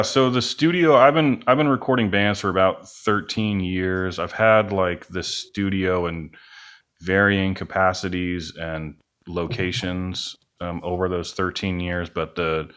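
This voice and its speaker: A man's voice: low-pitched, steady tone, measured pace, fairly bright tone. A middle-aged man being interviewed on a podcast as a musician, talking casually in a rambling, hedging, self-effacing way. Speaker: podcast guest, band musician and studio owner.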